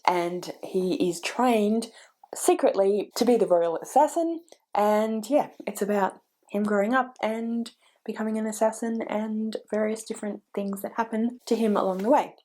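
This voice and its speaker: A woman chatting casually to camera about book recommendations, sounding stuffy and croaky from a cold, wandering a little and correcting herself as she goes. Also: mid-range voice, mellow. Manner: chatty and meandering, with restarts and self-corrections. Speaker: book vlogger.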